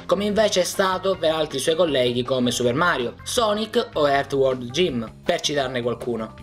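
A man's voice: high-pitched, fairly bright tone. A man reading a scripted video essay narration in speech. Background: background music.

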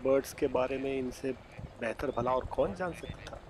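A man's voice: medium pitch, very noisy. Man narrating a travel documentary. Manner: measured, storytelling delivery.

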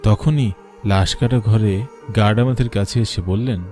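A man's voice: on the low side, unhurried, darkish tone, soft background music, lightly acted. A man voicing a devoted younger brother who narrates a cartoon story in an emotional, literary, sorrowful way. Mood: somber. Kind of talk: dramatic first-person cartoon narration.

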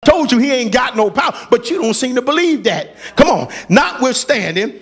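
A man's voice: very high-pitched, very animated, moderate pace. A man preaching a fiery sermon, exhorting emphatically in colloquial, repetitive phrases and quoting scripture. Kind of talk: fiery sermon with scripture readings and acted quotes.

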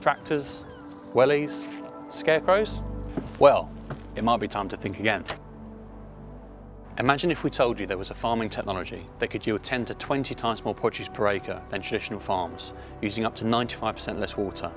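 A man giving scripted promotional narration as a company spokesman, in a measured presenting manner. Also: on the low side. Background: music.

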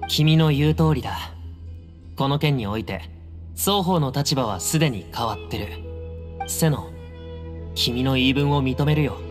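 A man's voice: mid-range voice, animated, a little slow, pauses often, natural voice. An actor playing a guarded, self-assured young male temple heir in a Japanese anime game, speaking in a cool, firm, composed manner. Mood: solemn. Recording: reverberant hall, music underneath.

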